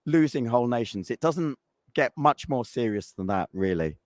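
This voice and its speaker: Croaky-voiced man on a panel. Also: mid-range voice, expressive, moderate pace, a little mellow, no room sound, clean studio sound. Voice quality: croaky, losing his voice. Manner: hesitant, many fillers and restarts. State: hoarse. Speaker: scientist presenting at a panel. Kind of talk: panel talk.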